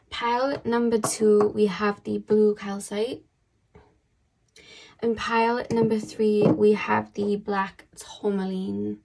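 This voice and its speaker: A woman giving a casual tarot reading to her viewers. Her voice is hoarse and croaky, and she talks in a chatty, rambling way, full of "um" and "like". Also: mid-range voice. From United Kingdom. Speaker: tarot card reader.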